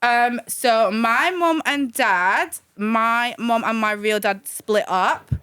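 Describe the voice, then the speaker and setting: A woman's voice: a little high, slow pace, clean tone, dry recording. A young woman, talking casually and animatedly as a guest in an interview, with many fillers. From Manchester, England. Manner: animated, chatty, hesitant with fillers.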